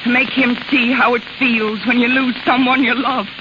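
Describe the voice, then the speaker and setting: A woman's voice: on the high side, flat delivery, moderate pace, close to an everyday voice. Actress playing bitter, scheming carnival woman, muffled old radio. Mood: bitter, hurt. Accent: American. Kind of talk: 1930s American radio acting. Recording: muffled, hissy, crackling 1930s radio recording.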